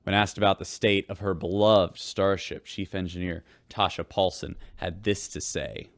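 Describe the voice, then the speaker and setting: Tired space radio dj guy, low voice, wry measured news delivery, very dry mic, sci-fi radio drama. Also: steady tone, no room sound. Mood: wry, weary. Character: wry, weary male spaceship radio host, sick but persevering. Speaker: radio host and reporter.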